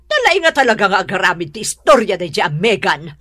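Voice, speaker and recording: A woman with an Ilocano accent acting in a radio drama as a harsh, complaining older mother-in-law, husky and scolding. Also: medium pitch, big pitch swings, fast, bright, forceful tone, no echo. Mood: indignant, scolding. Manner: scolding, indignant.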